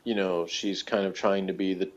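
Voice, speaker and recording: A man chatting casually as a review co-host, thinking aloud in a halting, rambling way with small chuckles. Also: low-pitched, flat delivery. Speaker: podcast co-host reviewing a TV series. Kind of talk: casual chat, rambling analysis. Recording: dull, lo-fi audio.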